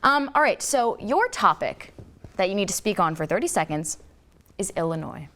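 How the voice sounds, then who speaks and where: A young woman hosting a relaxed college talk show, her voice bouncing up and down with animated pitch. She teases her guest and riffs jokingly in a loose, playful way, sitting in the middle of the usual female range. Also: normal speed. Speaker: host of a student talk show. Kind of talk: casual chat.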